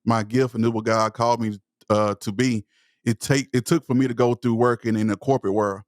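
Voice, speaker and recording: A man's voice: mid-range voice, fairly even intonation, brisk, no echo, studio quality. Southern-accented man speaking with conviction. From East Texas. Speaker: guest. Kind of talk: podcast interview.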